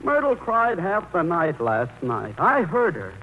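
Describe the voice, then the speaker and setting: Tinny old radio, sulky high-voiced grown son. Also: male voice, huge pitch swings, a little hiss or hum, full character voice. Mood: aggrieved, brooding. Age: 30s. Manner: halting, aggrieved, brooding. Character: sulky, indecisive 38-year-old son living at home. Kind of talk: 1940s radio play acting.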